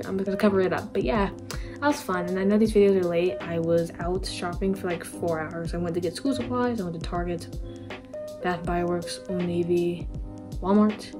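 A young woman chatting casually as the host of a reaction video, recorded in a room at home. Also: lowish voice.

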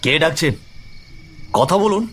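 Man voicing a high-pitched, terrified village man. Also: animated, slow pace, fairly bright tone, slightly rough, heavily acted. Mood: startled questioning. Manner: high-pitched, urgent, pleading. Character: terrified male villager, anxious and pleading.

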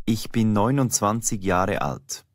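A man reading short lesson sentences slowly and clearly, repeating each one. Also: fairly low pitch, animated, no room sound, clean studio sound. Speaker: language lesson narrator. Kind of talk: slow, clearly articulated example sentences, each said twice.

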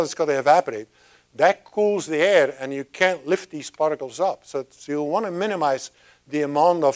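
Older male speaker at a podium, informal and rambling science talk with a lapel mic. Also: mid-range voice. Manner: rambling, chatty, with many restarts and asides. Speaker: guest speaker. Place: lecture room at a podium.

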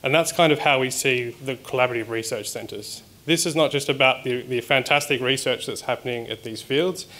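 Australian man, thirties, scientist's conference talk, bright clear voice, chatty with ums. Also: mid-range voice, brisk, few pauses. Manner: conversational, with frequent ums and restarts. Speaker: research scientist presenting at a symposium. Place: conference hall stage.

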